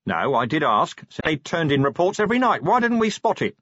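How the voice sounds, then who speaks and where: A man voicing a gruff, weary middle-aged male police commander who talks in a blunt, terse way. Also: on the high side, sing-song, fairly quick, fairly bright tone, no room sound, voice pushed up. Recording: dry, slightly dull close-mic.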